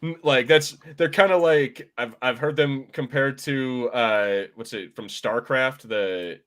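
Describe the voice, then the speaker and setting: A man hosting a casual podcast discussion, explaining things in an informal, rambling and halting way. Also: mid-range voice, fairly bright tone. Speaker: podcast host. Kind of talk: casual pop-culture discussion, explaining game lore.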